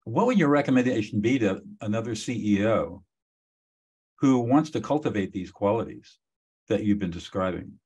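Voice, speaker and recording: A man's voice: on the low side, lively intonation, normal speed, a little mellow, breathy edge. A man in his seventies hosting an interview podcast, speaking emphatically and encouragingly. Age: 70s. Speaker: podcast host. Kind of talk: interview conversation.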